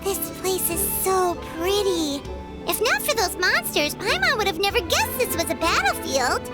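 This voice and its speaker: Childlike game fairy sidekick: piping squeak, very animated, perky. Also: female voice, normal speed, loud music behind the voice. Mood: wonder. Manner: perky, exclamatory. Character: tiny, childlike, excitable fairy companion girl. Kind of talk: cartoonish fantasy game voice acting.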